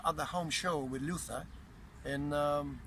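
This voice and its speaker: A Russian man speaking English with a Russian accent, a boxing promoter being interviewed outdoors, blunt and animated, often repeating himself. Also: a little high, measured pace. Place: outdoors, windy. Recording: outdoor wind noise.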